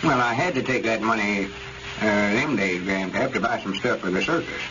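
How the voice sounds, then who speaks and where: An actor playing a worried, self-important old country store owner in folksy rural dialect, in 1930s radio comedy style. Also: male voice, on the low side, normal speed, natural voice. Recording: muffled, noisy old radio recording.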